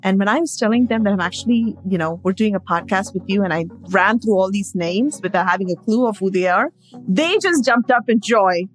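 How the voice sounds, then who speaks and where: A woman's voice: medium pitch, fairly quick, low-quality recording. A woman from New York co-hosting a podcast, giggly and enthusiastic as she reads out a guest's credits. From New York City. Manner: giggly, enthusiastic, stumbles over names. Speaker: podcast co-host. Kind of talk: casual chat, reading guest introduction.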